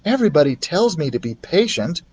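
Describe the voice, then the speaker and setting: Man as an earnest young boy, lightly raised pitch. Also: very animated, brisk, darkish tone. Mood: impatient. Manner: earnest, confiding. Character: friendly, candid young boy, impatient to grow up.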